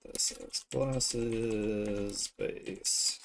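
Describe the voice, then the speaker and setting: Guy with a low creaky voice, casually talking himself through a drawing on stream. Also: sing-song. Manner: informal, unhurried musing to self while drawing. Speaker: streamer and artist. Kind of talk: casual art livestream commentary, thinking aloud.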